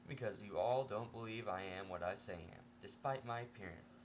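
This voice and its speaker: A man's voice: fairly low pitch, a little fast, natural voice. A man voicing a sarcastic, irritable male patient locked in an asylum, in an amateur story reading with background noise.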